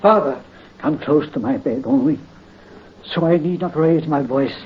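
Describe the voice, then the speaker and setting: An actor playing a dying French count consumed by vengeance, weak yet commanding. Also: male voice, a little high, measured pace, close to an everyday voice. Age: 50s. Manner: weak but commanding, imperious. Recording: dull, faintly hissy 1930s radio recording.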